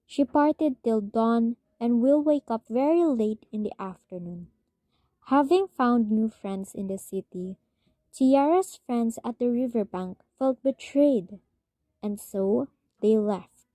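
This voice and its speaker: A woman's voice: on the high side, slow pace, warm tone, no echo. A young woman reading scripted narration for a radio drama. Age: young adult.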